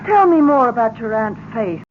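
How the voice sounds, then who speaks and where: An actress in an old-time radio drama playing an apprehensive young wife with a dry, wry way of talking. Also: female voice, fairly high pitch, lively intonation, moderate pace, natural voice. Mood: wry, uneasy. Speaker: radio actor. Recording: dull, noisy old radio recording.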